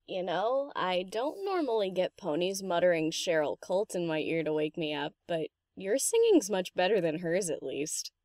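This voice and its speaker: A woman's voice: on the low side, big pitch swings, moderate pace, few pauses, a little mellow, no room sound, studio quality. A woman voicing a brash, teasing young female DJ pony in an audio story, casual, cheeky and slangy. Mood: sleepy teasing. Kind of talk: audio story character acting.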